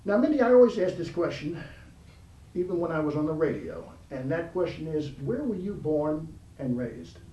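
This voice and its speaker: A man's voice: a little high, moderate pace, distant mic, muffled recording, a little hiss or hum. Man host in an echoey room, mic set back. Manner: reads guest credits in long, steady lists. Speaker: interview host. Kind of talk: formal introductions for a talk show.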